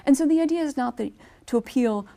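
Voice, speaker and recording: A woman's voice: high voice, fast talker, vocal fry, mellow, slight background noise. A woman speaking on a radio-style panel discussion, chatty and enthusiastic, often restarting her sentences.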